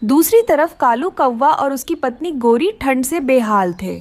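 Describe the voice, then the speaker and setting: A woman narrates a scripted moral tale for children. Her voice is high in pitch, in the manner of a cartoon storyteller. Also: expressive, a little slow, darkish tone, dry recording.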